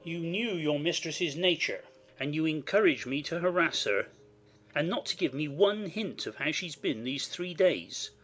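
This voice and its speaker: Woman performing a stern gentleman of the house, lowered voice, very animated pitch, formal reproach, classic audiobook drama. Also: measured pace. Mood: cold reproach. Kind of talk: dramatized classic novel reading. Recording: music behind the voice.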